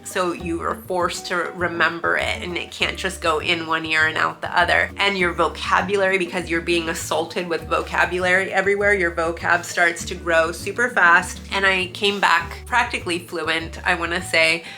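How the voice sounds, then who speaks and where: A woman in her forties with an American accent talks to her viewers in a loose, rambling way, often restarting mid-thought. Her voice sits a bit low but sounds bright and forward. Faint music plays underneath. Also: fairly even intonation, moderate pace, creaky, hardly any pauses. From United States. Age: 40s. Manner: chatty, rambling, frequent restarts and asides. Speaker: vlogger. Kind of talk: casual personal storytelling.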